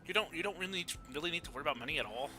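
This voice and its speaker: A woman's voice: low voice, animated, very fast, sharp tone, soft background music. A woman doing casual, blunt live commentary as a game streamer, reacting to the story.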